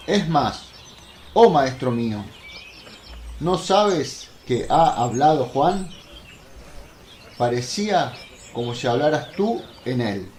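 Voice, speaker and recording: An actor playing an excitable, blunt apostle in a religious radio play, effusive and exclamatory. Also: male voice, medium pitch, slight background noise.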